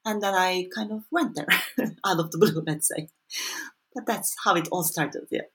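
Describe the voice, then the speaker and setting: A woman's voice: lowish voice, moderate pace. A woman with a Turkish accent, an author and anthropologist answering interview questions in a thoughtful, hedging way. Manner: thoughtful, hedging, frequent small laughs.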